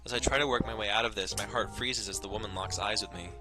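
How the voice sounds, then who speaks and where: Lower-voiced male host, sarcastic reading of visual novel text over game music. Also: fairly even intonation, quick pace. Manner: sarcastic, playful commentary. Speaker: let's play host. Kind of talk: reading a visual novel aloud with joking asides.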